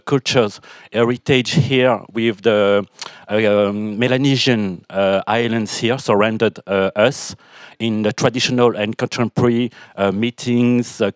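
A man's voice: medium pitch, dry recording. Hesitant man with a French accent. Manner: hesitant, many fillers and restarts. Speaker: audience member asking a question.

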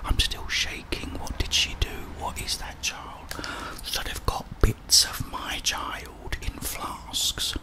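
A man speaking in a soft, breathy whisper close to the microphone, as in an ASMR game playthrough. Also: slow pace, hardly any pauses. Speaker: ASMR gamer and host.

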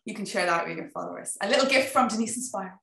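Bright-voiced Dublin Irish woman. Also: mid-range voice, rapid-fire, creaky, slight background noise. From Ireland. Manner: chatty and animated, gestures, frequent "you know". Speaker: guest, business coach. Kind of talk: casual video-call interview. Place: home office, indoors. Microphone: video call. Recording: echoey room.

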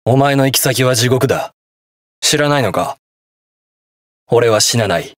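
Game hero, male actor: stern young police detective in armor, gruff and defiant, very animated pitch, dry recording. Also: unhurried, dry recording, lightly acted. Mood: cool, menacing. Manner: gruff, terse, defiant delivery. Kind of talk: video game battle voice lines in Japanese hero-show acting.

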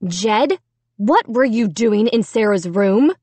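A woman's voice: mid-range voice, animated, takes it slowly, husky, no echo. Woman acting a stern, exasperated storybook mother. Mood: angry, demanding. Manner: firm, sharp, commanding. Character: stern, exasperated mother scolding her children.

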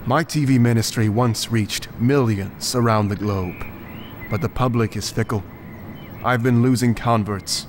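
A man's voice: mid-range voice, measured pace, background noise, lightly acted. A man playing a self-important, ambitious television evangelist in a comic book drama, pompous and calculating.

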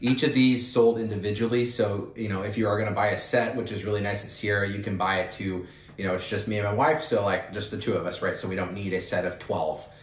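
A man's voice: fairly low pitch, fairly even intonation, fast talker. Casual young man in a roomy space. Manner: casual, upbeat, lots of fillers. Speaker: channel host. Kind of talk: product haul vlog. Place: indoor room with wood-panel wall. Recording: roomy echo, mic at a distance.

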